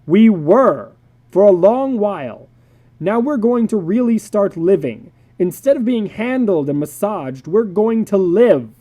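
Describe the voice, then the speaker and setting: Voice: mid-range voice, lively intonation, unhurried, mellow, pitched above the performer's own voice. A performer voicing a fed-up, stern husband and father, speaking emphatically and with exasperation. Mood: angry, resolute.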